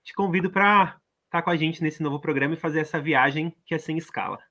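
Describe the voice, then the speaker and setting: A man's voice: a little high, brisk. A man with a Brazilian Portuguese accent, co-hosting a radio show in casual conversation, chatty and full of tag questions. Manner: chatty, warm-hearted, uses many tag questions. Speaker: radio co-host. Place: radio studio.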